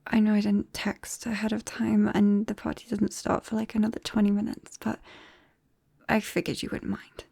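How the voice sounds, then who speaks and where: A young British woman acting in an intimate ASMR roleplay as a nervous, affectionate friend with a secret, soft-spoken and hesitant, close to the microphone. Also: medium pitch, completely flat delivery, normal speed, vocal fry, slightly rough, no echo. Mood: shy, tentative. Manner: hesitant, soft-spoken, breaking into whispers and small nervous laughs. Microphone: close-up microphone, dry.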